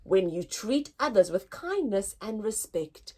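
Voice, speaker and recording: Slow, animated woman reading to children. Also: medium pitch, husky. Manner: expressive, deliberate teacher-like delivery addressed to young children. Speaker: story time host reading picture books aloud. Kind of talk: children's storybook read-aloud.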